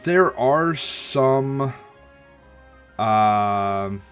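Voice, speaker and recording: A man's voice: mid-range voice, expressive, extremely slow, clean tone, faint music behind. A man streaming a game and chatting about it, thoughtful and hesitant, with a slightly stuffy, nasal sound. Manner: thoughtful, hesitant, many ums and restarts. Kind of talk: casual gameplay commentary.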